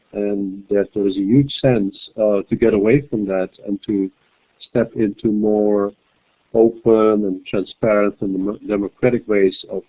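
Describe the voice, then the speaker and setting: A man with a fairly low voice speaks as a webinar panelist, measured and prone to restarts. His audio comes through thin and narrow, like a phone line. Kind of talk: webinar discussion. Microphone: computer mic on a web call. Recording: tinny phone-like web call audio.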